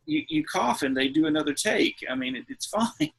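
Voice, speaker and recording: Raspy, bright-voiced man telling stories. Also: high-pitched, moderate pace. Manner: animated storyteller who acts out quotes. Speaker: podcast co-host. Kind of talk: casual chat, film anecdotes.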